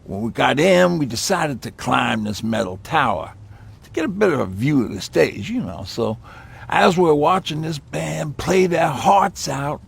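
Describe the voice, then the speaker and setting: Casting: old folksy mechanic, heavy gravel and rasp, easygoing game-drama survivor. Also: male voice, on the high side, lively intonation, measured pace, heavily acted. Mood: nostalgic. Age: older. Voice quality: gravelly, husky. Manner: folksy, reminiscing. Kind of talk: game voice acting, conversational.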